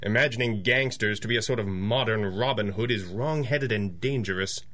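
An actor playing a confident masked crime fighter, measured and articulate, in 1930s radio drama style. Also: male voice, lowish voice, fairly bright tone, natural voice. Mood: resolute, explaining. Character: confident, commanding masked male pulp hero.

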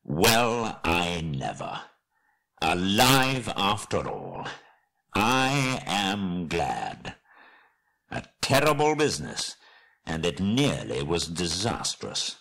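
A man voicing a weighty old wizard in a storybook, gruffly affectionate, deliberate and grave. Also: a bass voice, big pitch swings, a bit bright, heavily acted. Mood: relieved, surprised.

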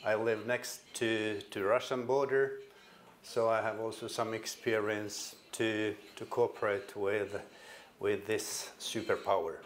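An older man from Finland with a Finnish accent gives a conference presentation in a hall, speaking haltingly and repeating words as he restarts. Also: on the low side, little pitch movement, slight background noise. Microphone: stand microphone at a podium.

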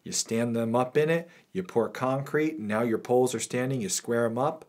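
A man in his thirties co-hosting a podcast in casual chat, animated and joking, in a small wood-panelled studio room. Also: mid-range voice, normal speed. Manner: animated, joking, gestures a lot. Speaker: podcast co-host.

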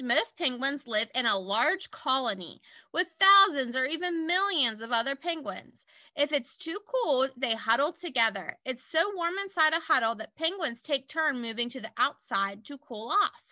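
A woman reading aloud to young children like a teacher, in an animated way with simple explanatory asides. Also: sits high, a little slow, dry recording.

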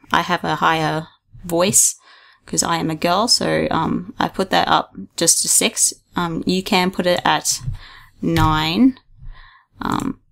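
A young woman hosting a casual screen-recorded software tutorial, talking hesitantly with frequent ums and restarts into a desktop mic. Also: fairly low pitch, vocal fry. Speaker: video tutorial host.

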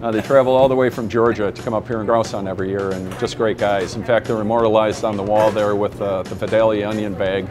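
A man's voice: lowish voice, flat delivery, fast, hardly any pauses. A man who owns a diner, telling an anecdote in a casual, conversational way. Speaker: diner owner. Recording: music clearly behind the talk.

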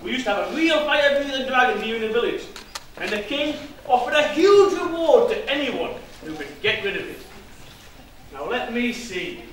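A man acting a cheeky pantomime village joker with a very high, swooping voice. He plays jokes out to the house with exaggerated comic timing, heard from far off on an echoey stage. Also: sing-song, moderate pace, a bit bright, a little hiss or hum, full character voice. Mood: cheeky storytelling. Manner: exaggerated, playful comic delivery to the crowd. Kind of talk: pantomime stage comedy, addressing the audience. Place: theatre stage, distant microphone.

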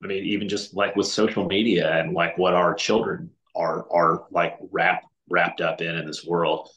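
A man's voice: low-pitched, level tone, moderate pace, a bit bright, muffled recording. A middle-aged man talking earnestly over a video call, often restarting his sentences. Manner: many restarts and fillers, earnest. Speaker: podcast guest. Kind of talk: casual video call chat. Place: home room with windows. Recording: roomy echo.

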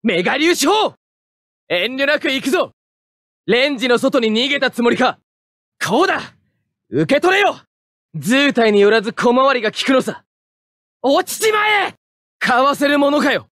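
A man playing a proud, hot-headed young mecha pilot, barking short forceful attack calls in an anime fighting game. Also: very high-pitched, big pitch swings, moderate pace, pauses often, dry recording, studio quality, full character voice. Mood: aggressive.

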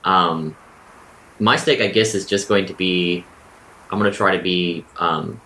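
A man's voice: deep voice, fairly even intonation, measured pace, bright, forceful tone, low-quality recording. A man playing a tabletop roleplaying game, reasoning aloud in casual, dry in-game chat. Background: faint music.

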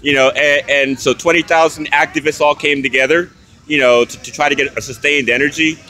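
Bright, earnest man interviewing outdoors. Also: a little high, steady tone, rough recording. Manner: earnest, halting with repeated words. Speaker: homeless advocate and interviewer. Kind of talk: street interview. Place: outdoor park protest camp.

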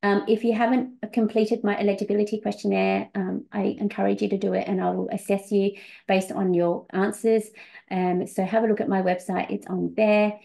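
A woman's voice: medium pitch, level tone, moderate pace, creaky, mellow. A woman in her forties, a visa coach, presenting a webinar over a video call, earnest with many fillers.

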